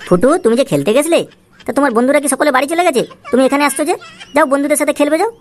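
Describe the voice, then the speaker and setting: A woman's voice: high voice, lively intonation, racing. A woman voicing an exasperated, scheming father in a comic cartoon, coaxing and blustering as he repeats himself. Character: exasperated, scheming father caught drinking with friends. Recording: clear background noise.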